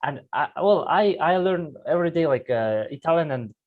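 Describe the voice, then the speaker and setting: A man's voice: on the high side, lively intonation, phone-quality sound. Hesitant host, man. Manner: hesitant, halting, many fillers and restarts. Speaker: interviewer, channel host. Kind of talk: casual conversation.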